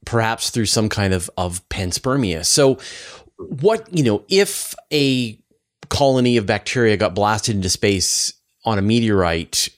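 A man's voice: fairly low pitch, expressive, a little slow. A man hosting a science interview, asking questions in a conversational way. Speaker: interviewer, host.

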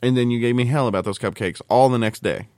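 A man in casual podcast banter, telling stories in an animated, ranting way and acting out quoted exchanges. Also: mid-range voice, fast, no echo, studio quality.

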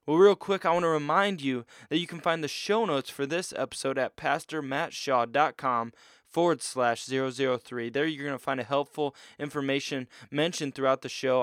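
A man hosting a faith podcast, moving from an upbeat scripted intro to relaxed questions full of small fillers. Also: medium pitch, no echo, studio quality. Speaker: podcast host and pastor. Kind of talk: interview podcast.

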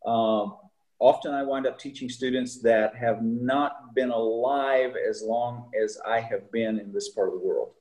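A middle-aged man, a seminary teacher, talking casually in an interview with frequent pauses and fillers. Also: mid-range voice, fairly even intonation, a little mellow, poor audio. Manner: halting, many fillers and restarts.

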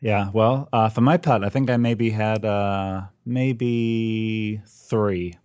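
A man's voice: lowish voice, fairly even intonation, slow, darkish tone, clear, resonant tone, no room sound. A middle-aged man co-hosting a podcast over a call, talking casually with many ums and a dry, self-mocking humor. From United Kingdom. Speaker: podcast co-host. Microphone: remote call.

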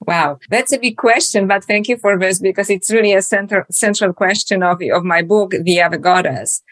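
A woman's voice: medium pitch, moderate pace. A woman from Poland with a Polish accent, speaking as a guest author in a podcast interview, reflective and searching, with many fillers and restarts.

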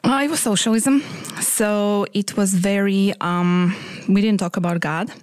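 A woman's voice: medium pitch, fairly even intonation, unhurried, darkish tone, dry recording. A woman in her forties from Croatia, speaking English with a Croatian accent, giving a reflective personal testimony in an interview.

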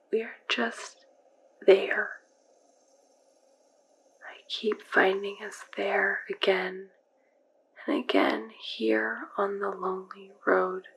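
An actress playing a haunted young woman murmuring dreamlike visions in a breathy whisper, in an audio drama. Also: medium pitch, little pitch movement, slight background noise, rough recording. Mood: trancelike. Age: young adult. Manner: hushed, slow, trancelike. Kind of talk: whispered inner monologue.

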